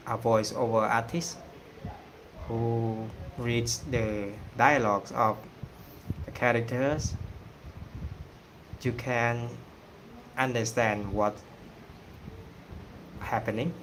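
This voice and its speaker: A man from Cambodia with a Cambodian accent, whose first language is Khmer, talking casually on a livestream and pausing often with fillers. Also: medium pitch, fairly even intonation, background noise. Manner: hesitant, many pauses and fillers. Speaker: singing channel host.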